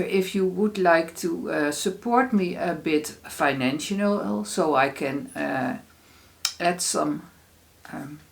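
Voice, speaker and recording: A woman from the Netherlands with a Dutch accent, speaking English as a second language as she hosts a casual solo craft podcast, with many fillers and restarts. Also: fairly low pitch. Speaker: podcast host.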